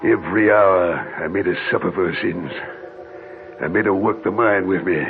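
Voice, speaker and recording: An actor playing a vengeful, murderous old gold miner with an American accent, menacing and folksy in an old radio horror drama. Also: male voice, low-pitched. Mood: brooding, bitter. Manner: menacing, rustic folksy speech, drawn-out dramatic storytelling. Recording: muffled vintage radio recording with music.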